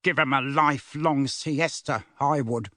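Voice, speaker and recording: A man voicing a gruff, irritable middle-aged uncle who grumbles scathingly, in a storybook reading. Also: mid-range voice, measured pace, bright, forceful tone, dry recording, studio quality. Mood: scornful. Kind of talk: audiobook character voice.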